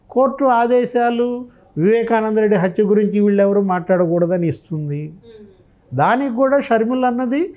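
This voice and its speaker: A man's voice: high voice, a little mellow. A man giving impassioned political commentary, emphatic and full of rhetorical questions. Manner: emphatic, rhetorical questioning, re-enacting others' remarks.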